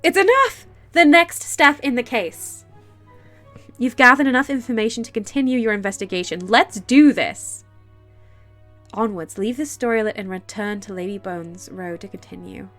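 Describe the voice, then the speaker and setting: A woman streaming a game, chatting casually and reading its text aloud, excitable and quick to laugh, with a stuffy nose and sore throat from a cold. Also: soft background music. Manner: excitable, laughs easily, bursts into high delight.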